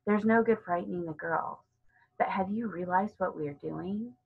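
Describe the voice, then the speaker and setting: A woman's voice: on the low side, moderate pace, gravelly, slightly rough, phone-quality sound. A woman voicing a sullen, suspicious boy in a storybook, grumbling and conspiratorial. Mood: suspicious, secretive.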